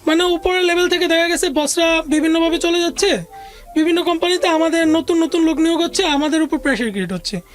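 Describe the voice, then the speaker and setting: A woman's voice: very high voice, quick pace. A woman with a Bangladeshi accent recounting her life story conversationally as an interview guest, mixing in English words. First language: Bengali.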